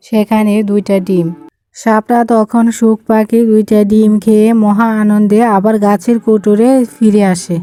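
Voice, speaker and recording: A woman reads a children's fairytale in a soft, mellow tone. Her pitch stays quite even, and the recording is dry and close. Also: no room sound. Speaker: storyteller, narrator. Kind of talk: scripted children's fairytale narration.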